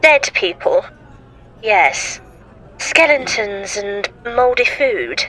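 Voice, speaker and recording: A woman's voice: mid-range voice, very animated, extremely slow, a crowd in the background, rough recording. A woman acting in an audio drama as a plucky, exclamatory young companion to a time traveller. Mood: plucky, uneasy.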